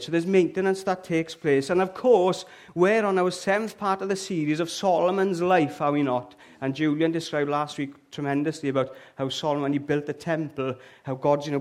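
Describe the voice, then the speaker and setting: Welsh man, middle-aged, church speaker, chatty and funny, slightly high voice. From Wales. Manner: chatty and humorous, often checks in with "okay?" and "all right?". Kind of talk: lively, joking sermon to a live congregation. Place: church hall with an audience.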